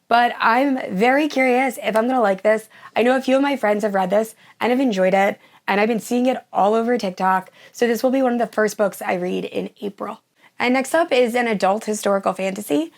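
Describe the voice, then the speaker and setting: A young woman hosting a casual book vlog, her voice hoarse and nearly gone after the flu, gushing about the books she plans to read. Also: a little high. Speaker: book channel host.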